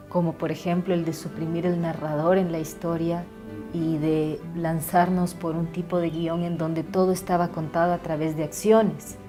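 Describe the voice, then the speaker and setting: Woman, low-pitched, thoughtful interview explainer who feels for her words, faint music underneath.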